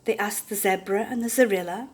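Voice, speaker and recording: An older woman reading a children's picture book aloud with deliberate, theatrical expression. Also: mid-range voice.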